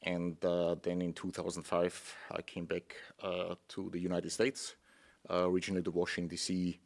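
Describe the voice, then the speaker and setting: Middle-aged Austrian man, deep monotone, courteous and careful in his answers. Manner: polite, measured, slightly halting. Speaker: guest, hotel general manager. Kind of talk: interview answers. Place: studio.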